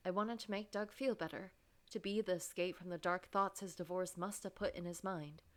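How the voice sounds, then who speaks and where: A woman voicing an anxious, guilt-ridden office worker who narrates a story game in a dramatic, wounded, halting way. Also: lowish voice, fairly even intonation, lightly acted. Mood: miserable.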